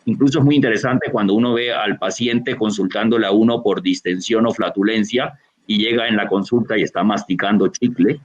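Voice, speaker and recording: A gastroenterologist from Colombia with a Barranquilla accent, explaining a medical answer over a video call, with frequent fillers and restarts. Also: male voice, on the low side, steady tone, a little fast. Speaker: gastroenterologist lecturer. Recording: dull lo-fi video call audio.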